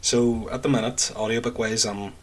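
A man's voice: medium pitch, flat delivery, moderate pace, slightly rough. A man co-hosting a podcast, explaining his current reading in casual chat. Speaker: podcast co-host.

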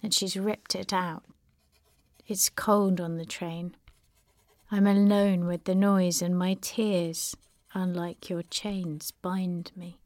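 A woman reading old letters aloud with some narration, in an expressive, dramatic way that lingers on words and drops toward breathiness. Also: on the low side, slow pace, no echo. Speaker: reader of family letters.

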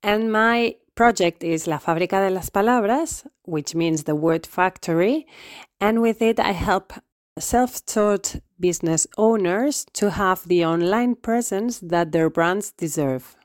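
A woman from Spain introducing herself in a measured way as a consultant. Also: mid-range voice, dry recording, studio quality. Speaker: online presence consultant. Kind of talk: self-introduction.

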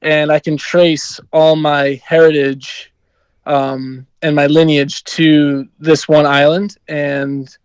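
Young American man, hockey player guest, smooth clear voice, even and flat, easygoing with lots of ums, dry close sound. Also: fairly high pitch, slow, no echo. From New Jersey, USA. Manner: easygoing, many ums and you-knows. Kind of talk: casual interview answers.

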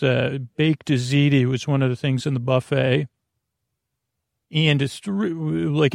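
Creaky-voiced man rambling gently toward bedtime. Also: mid-range voice, no room sound, clean studio sound. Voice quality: creaky, gravelly. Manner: meandering, halting, frequent self-interruptions and tangents. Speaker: podcast host.

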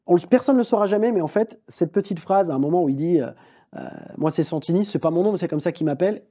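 A man, a film director, talking in a long interview, quick and animated, with many restarts and fillers. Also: sits high. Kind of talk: long-form conversational interview.

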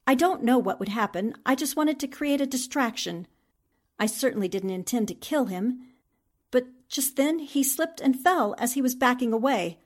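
A plucky newspaperwoman from a 1940s radio mystery, played by an actress. Her voice sits fairly high. She speaks earnestly and dramatically, with plenty of determination. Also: lively intonation, normal speed. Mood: shaken, recounting.

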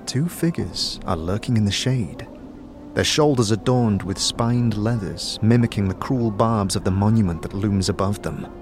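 Low-voiced man narrating dark fantasy. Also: moderate pace. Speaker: narrator, game master. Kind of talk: dramatic second-person scripted narration. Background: music bed.

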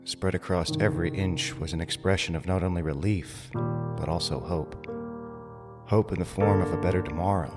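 A man's voice: sits low, fairly even intonation, moderate pace, loud music behind the voice, lightly acted. A man playing a reflective, hopeful survivor narrating a zombie audio drama.

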